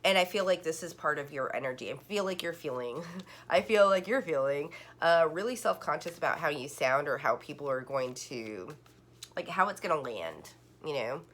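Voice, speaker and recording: A woman's voice: deep voice, lively intonation, moderate pace, a bit bright, a little hiss or hum. A woman giving a casual online tarot reading, rambling and full of "like" fillers and restarts. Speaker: tarot card reader.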